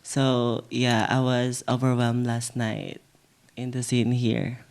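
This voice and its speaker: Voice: medium pitch, monotone, takes it slowly, darkish tone, clean tone. A speaker from the Philippines with a Filipino accent, a Tagalog speaker, talking English hesitantly with simple phrasing as a podcast guest. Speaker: panel guest.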